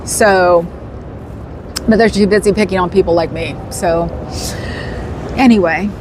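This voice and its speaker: A woman's voice: on the low side, measured pace. Chatty woman streaming from a noisy street. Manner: chatty, rambling, laughs often. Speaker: livestream host. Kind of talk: casual livestream chat with viewers.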